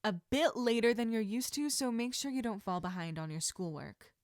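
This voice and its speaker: A woman voicing an encouraging adult female martial arts teacher in measured, instructive story dialogue. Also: a little high, no echo, studio quality, lightly acted. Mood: calm, reassuring. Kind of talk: audiobook-style character dialogue.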